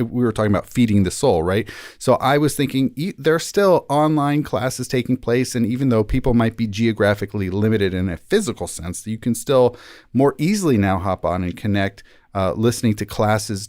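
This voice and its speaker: A man's voice: fairly low pitch, darkish tone, no echo, clean studio sound. A man speaking as a podcast guest, explaining his points in a conversational way.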